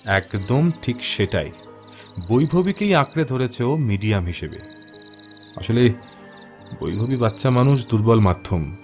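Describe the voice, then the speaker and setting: A man acting as an intense young paranormal investigator in a horror audio play. His mid-pitched male voice is grave and deliberate, sure of itself, over faint music. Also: expressive, darkish tone, natural voice. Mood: grave, explaining. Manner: grave, deliberate explaining, mixes in English words.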